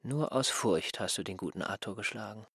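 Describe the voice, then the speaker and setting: An actor in a literary radio drama playing an insolent former servant, subdued and coolly dismissive. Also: male voice, fairly low pitch, brisk, a bit bright, breathy edge, no echo, studio quality. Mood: indifferent, resentful. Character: insolent, indifferent male former servant, newly independent. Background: prominent music.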